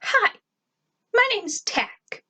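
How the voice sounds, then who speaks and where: A woman voicing a perky, showy young schoolgirl with a high-pitched voice in an amateur story reading. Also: big pitch swings, extremely slow, pushed and bright, heavily acted.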